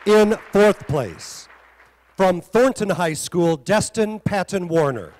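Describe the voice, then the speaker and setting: A man's voice: sits high, expressive, slow pace, a little mellow. A man working as an awards announcer, making ceremonial announcements to an audience at an event. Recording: event hall with music and crowd.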